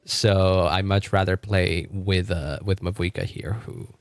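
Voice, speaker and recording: A man's voice: sits low, steady tone, unhurried, no room sound. A man live streaming in a casual, rambling way, thinking aloud and often chuckling as he chats with viewers. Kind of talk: casual gaming livestream chat.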